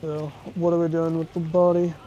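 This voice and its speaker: A man talks casually during a roleplay game with very little movement in pitch. His tone is mellow, and the voice chat is muffled with faint noise. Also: monotone, normal speed.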